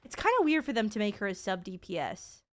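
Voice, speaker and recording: A woman's voice: medium pitch, sing-song, quick pace, a bit bright. A woman in her thirties giving casual, playful gaming stream commentary, full of slang and swearing. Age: 30s.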